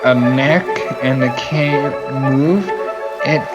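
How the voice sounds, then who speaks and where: Very slow male narrator over loud music. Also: medium pitch, lo-fi sound. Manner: deliberate, broken into short phrases. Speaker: puppet show narrator.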